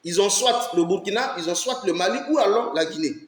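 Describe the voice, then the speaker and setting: A man delivers fiery political commentary in a fairly high voice. He hammers his points with rhetorical questions and keeps urging his audience to listen closely. The room around him rings with a noticeable echo. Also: expressive, brisk. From Ivory Coast. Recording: roomy echo.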